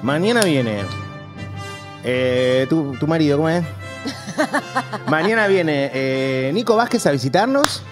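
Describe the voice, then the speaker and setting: An Argentine man hosts a casual studio show in a slangy, joking way. His voice sits a little high and swings widely in pitch. Soft music plays behind his close-miked speech. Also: big pitch swings, takes it slowly, long pauses. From Rosario, Argentina. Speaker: host. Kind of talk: casual comedic studio chat. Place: streaming studio. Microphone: close studio microphone with headphones.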